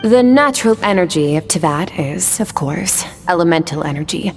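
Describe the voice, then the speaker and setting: A woman playing a cool, aloof young warrior mentor in a video game, measured, matter-of-fact and detached. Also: lowish voice, expressive, big-room sound, soft background music. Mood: calm, instructive.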